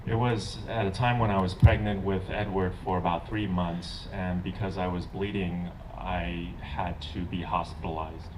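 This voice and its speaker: A man's voice: sits low, fairly even intonation, moderate pace, hardly any pauses. A young man interpreting his mother's wedding speech into English, hesitant and searching for words. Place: outdoor garden wedding. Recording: distant mic, noisy outdoors.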